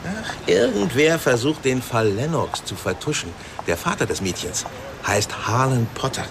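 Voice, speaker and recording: A man's voice: mid-range voice, big pitch swings, moderate pace, creaky. An actor playing an inquisitive, insinuating male newspaper crime reporter in a radio drama, with a knowing manner. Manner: knowing, suggestive. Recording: city traffic noise behind.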